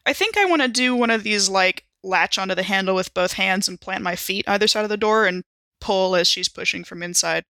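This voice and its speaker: Bright-voiced woman chatting through a game. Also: mid-range voice, brisk, dry recording. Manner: informal, thinking aloud. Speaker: player in a tabletop game. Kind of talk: casual game chat.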